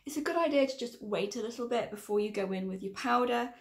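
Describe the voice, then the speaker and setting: A woman in her thirties with a croaky, tiring voice, chatting and correcting herself as she presents a casual tutorial to camera. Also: fairly high pitch, quick pace, roomy sound. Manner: chatty, rambling, self-correcting. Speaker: makeup tutorial vlogger. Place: small room indoors.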